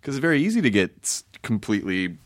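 A man in his forties with a mid-pitched, very lively voice that moves up and down a lot. He interviews in an informal, candid, self-deprecating way, recorded dry. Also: quick pace, no room sound. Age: 40s. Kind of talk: casual chat.

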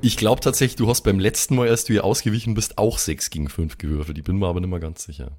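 A man's voice: sits low, fairly even intonation, quick pace, a little mellow. A man chatting casually as a player at a tabletop game. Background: faint background music.

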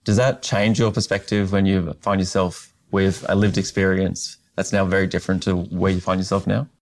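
Gravelly, flat-voiced Australian male interviewer. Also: deep voice. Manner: slow, thoughtful questions with soft listening murmurs. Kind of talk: conversational interview. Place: conference venue.

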